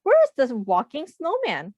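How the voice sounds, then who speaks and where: A woman voicing an eager, hurried young animal boy in a children's storybook, with exclaiming, sing-song delivery. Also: high voice, huge pitch swings, mellow. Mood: puzzled. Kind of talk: children's storybook read-aloud. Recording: muffled, roomy echo.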